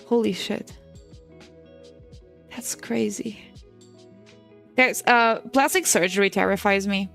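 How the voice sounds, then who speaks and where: A woman's voice: medium pitch, slow pace, creaky, pauses often, fairly bright tone, soft background music. A woman in her twenties, a native Portuguese speaker, chatting casually on a stream while she draws, swearing and laughing often. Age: 20s. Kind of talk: casual chat while drawing.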